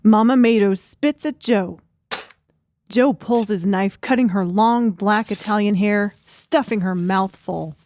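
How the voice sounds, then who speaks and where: A woman's voice: mid-range voice, unhurried, darkish tone, a little hiss or hum. A woman reading scripted narration as the narrator of an audiobook.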